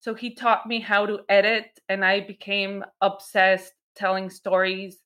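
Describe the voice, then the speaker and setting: A woman commercial director from Mexico, speaking as a podcast guest in a friendly, measured way. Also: medium pitch, flat delivery, a bit bright. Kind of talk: casual interview.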